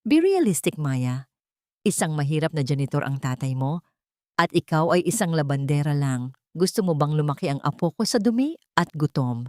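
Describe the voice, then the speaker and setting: A woman's voice: deep voice, very animated, normal speed, dark tone, dry recording. A woman narrator plays a haughty, wealthy older matriarch in a dramatic audio story. The manner is scornful and commanding, in a dry recording. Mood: scornful, demanding. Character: haughty, predatory wealthy older mother-in-law.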